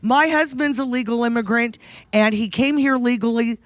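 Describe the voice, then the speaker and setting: A woman presses her case insistently, hammering her numbers home by repeating them. Her pitch is middling, and the sound is dry and close. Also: moderate pace, no echo. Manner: insistent, repeats figures for emphasis. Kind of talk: heated debate.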